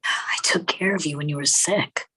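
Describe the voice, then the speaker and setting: A woman playing a cold, wounded wife in a drama script read, with a husky voice. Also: deep voice, moderate pace, sharp tone, whispered, heavily acted, rough recording. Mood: shocked, hurt. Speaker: voice actor in a table read.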